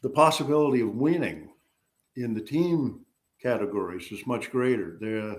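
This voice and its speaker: Older guy guest, mid-pitch voice, stops and starts a lot, lots of ums. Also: animated. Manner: halting, with many pauses and fillers. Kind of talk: casual chat.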